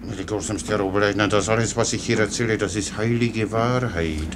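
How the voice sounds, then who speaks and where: An actor playing a guileless, chatty, foolish soldier orderly in a German radio play, rambling deferentially. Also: male voice, on the low side, brisk, close to an everyday voice. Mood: chatty, unruffled. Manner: rambling, naively unruffled, deferential. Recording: clear background noise.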